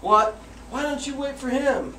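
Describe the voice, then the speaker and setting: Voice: high-pitched, steady tone, measured pace, sharp tone, a little hiss or hum. A child performer playing a hungry, reckless middle billy goat, expressively, in a school readers theater. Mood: cheeky, persuading. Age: child, about eight or nine. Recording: echoey room, far from mic.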